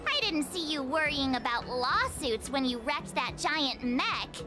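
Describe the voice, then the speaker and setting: A woman's voice: sits high, lively intonation, unhurried. An actress playing a breezy, confident young adventurer girl in an anime-style video game, perky and teasing. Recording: game audio with music behind.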